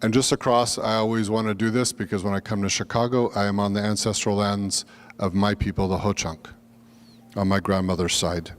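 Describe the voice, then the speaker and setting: A man's voice: lowish voice, level tone, a little fast, long reverb tail. A Lakota man presenting at a workshop, giving an earnest, welcoming land acknowledgment and introduction. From Lakota, United States.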